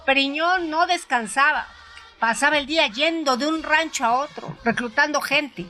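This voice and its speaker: High bright lady reading a story slow and expressive, faint music behind. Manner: measured, expressive first-person reading. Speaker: narrator. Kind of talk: scripted audiobook narration.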